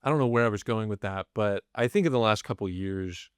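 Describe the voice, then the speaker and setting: A man's voice: lowish voice, fairly quick, clear, resonant tone, no echo. Man, late twenties, podcast co-host, hesitant, dry close sound. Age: 20s. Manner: hesitant, searching for words. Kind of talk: casual chat.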